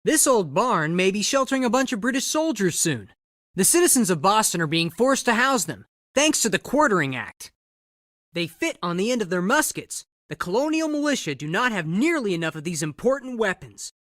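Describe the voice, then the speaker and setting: An actor playing an earnest, eager young colonial American reporter in a game, speaking clearly in an explanatory manner. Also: male voice, high-pitched, very animated, normal speed, a bit bright, no echo, studio quality. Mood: earnest, informative. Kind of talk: scripted game character dialogue.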